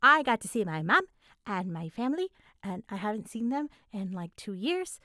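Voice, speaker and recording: A young adult woman chatting casually as she answers fan questions, with an animated way of retelling things. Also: medium pitch, normal speed, dry recording, clean studio sound. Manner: chatty, animated retelling with quoted asides and giggles. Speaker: audio roleplay creator answering fan questions. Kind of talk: casual Q&A chat.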